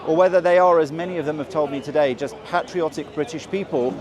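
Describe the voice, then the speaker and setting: A man reporting from an outdoor street rally and interviewing attendees in a measured, inquisitive way. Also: fairly high pitch, dull audio. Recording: busy crowd noise outdoors. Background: crowd.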